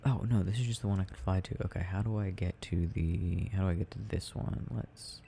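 A young man playing an affectionate, gently teasing boyfriend in an ASMR audio roleplay, murmuring and rambling as he games. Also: sits low, steady tone, a little fast, vocal fry, slight background noise. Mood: absorbed, puzzled.

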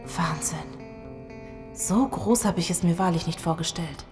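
An actress playing an earnest, serious virologist surviving a zombie apocalypse in an audio drama. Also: female voice, lowish voice, measured pace, over music, lightly acted. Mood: alert. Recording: long cavernous reverb.

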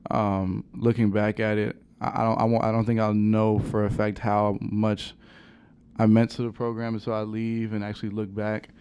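A young man, a college basketball player, answering questions at a post-game press conference in a halting, soft-spoken way with many fillers, into a table microphone. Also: fairly low pitch, monotone, clean tone. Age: young adult. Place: press conference room with sponsor backdrop.

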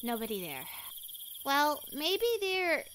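A woman's voice: high-pitched, sing-song, takes it slowly, a bit bright, a little hiss or hum, full character voice. A woman voicing a prim, easily panicked young heroine in a comic dub, fussy and theatrical, with exclamations. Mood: anxious.

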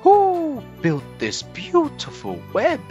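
A man's voice: extremely high pitch, huge pitch swings, slow pace, a little mellow, muffled recording, soft background music, voice pushed up, full character voice. A man voicing a curious owl in a children's storybook, hooting and drawing out its questions.

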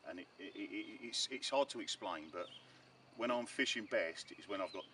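A middle-aged man chatting casually and haltingly as he explains his fishing gear, repeating words as he goes. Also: lowish voice, animated, sharp tone. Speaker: angler demonstrating his fishing rig. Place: outdoors on a pebbly shore beside bare bushes. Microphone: camera a few metres away. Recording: noisy outdoor recording, distant from mic.

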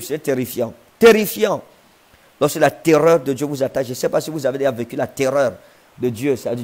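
A man's voice: mid-range voice, a little mellow. Fervent man praying over earphone mic. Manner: fervent, slow incantatory praying in tongues; emphatic, repetitive preaching. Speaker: prophet. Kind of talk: livestream prayer and sermon. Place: living room with a sofa, indoors. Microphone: wired earphone mic.

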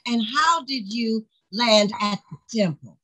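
A woman plays an older, seasoned journalist in a stage drama. She speaks slowly and drily, guarded and wry, over a video call. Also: on the high side, a bit bright, poor audio. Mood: polite, wry. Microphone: video call microphone.

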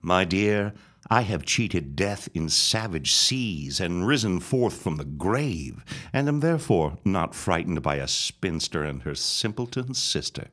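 Menacing period-drama reverend, deep and unhurried, self-righteous, taunting, preacherly. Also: male voice, animated, fairly bright tone. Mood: mocking, threatening. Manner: slow, grandiose, preacherly threatening. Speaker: actor in an audio drama. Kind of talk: period audio drama acting.

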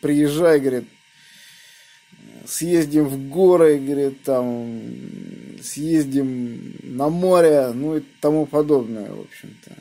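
A man from the region, chatting casually as an amateur radio operator in an unhurried, rambling way. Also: fairly high pitch, dark tone. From Moscow region, Russia. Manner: unhurried, rambling, spelling out callsigns phonetically. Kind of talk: casual ham radio contact.

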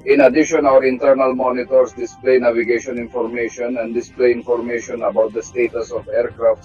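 A middle-aged man, a test pilot from Russia, giving a measured, technical tour of an aircraft cockpit. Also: medium pitch, a little mellow. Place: aircraft cockpit inside a hangar. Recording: slightly dull sound, faint music behind.

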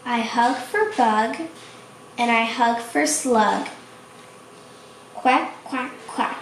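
Woman reading as a high-pitched little storybook duckling. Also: drawn-out words, recorded from a distance. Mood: happy, playful. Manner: simple sing-song rhyming storybook delivery. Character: young duckling, playful, childlike, fond of rain. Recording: echoey room, faint noise.

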